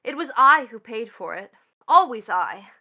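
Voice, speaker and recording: An actress playing a bitter, proud young stepdaughter in a stage play, with an emphatic, mocking, dramatic delivery. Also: female voice, a little high, very animated, moderate pace, bright, forceful tone, muffled recording, heavily acted. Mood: wounded scorn. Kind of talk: theatrical stage play acting.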